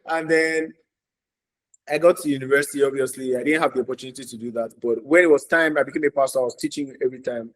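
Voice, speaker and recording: A man's voice: medium pitch, fairly even intonation, fast talker, rough recording. A man, earnestly telling his story as a podcast guest, repeating phrases for emphasis. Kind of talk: casual podcast interview.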